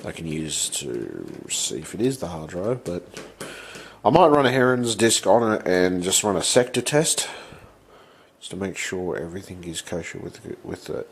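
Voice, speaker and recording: A man with blocked sinuses, talking off camera at a home workbench in a rambling, halting way that breaks into swearing when he is frustrated. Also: sits low, sing-song, gravelly, breathy edge. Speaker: channel host. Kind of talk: casual vlog.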